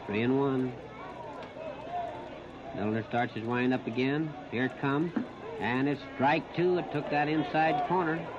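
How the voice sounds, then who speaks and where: An American man calling a baseball game live as a 1930s radio play-by-play announcer, narrating each moment in short, clipped phrases with pauses. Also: mid-range voice, steady tone. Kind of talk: 1939 live radio sports commentary. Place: outdoor ballpark, broadcast position behind home plate. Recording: muffled, noisy 1930s radio disc recording.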